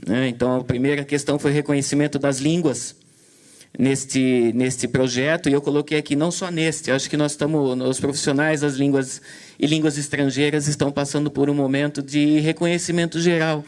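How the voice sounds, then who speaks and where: Male moderator, hesitant delivery, fillers and restarts, slightly higher pitch. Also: fairly even intonation, brisk, darkish tone. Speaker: event moderator. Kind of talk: commentary on a talk.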